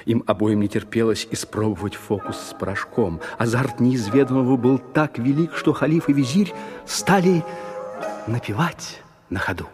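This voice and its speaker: A man's voice: medium pitch, normal speed, over music, close to an everyday voice. A man playing the narrator of an Eastern fairy tale, in lyrical, theatrical scripted narration.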